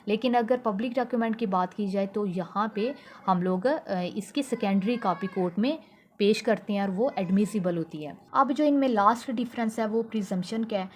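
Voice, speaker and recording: Pakistani woman lecturing, noisy recording. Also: mid-range voice. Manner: slows down to read out English definitions, then explains them plainly. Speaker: law lecturer. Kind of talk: explanatory lecture mixing in English legal terms.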